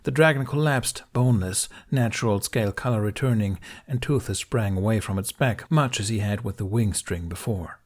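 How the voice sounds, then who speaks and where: A man's voice: fairly low pitch, normal speed, few pauses. A man reading an audiobook of fantasy fiction as a dramatic, intense narrator.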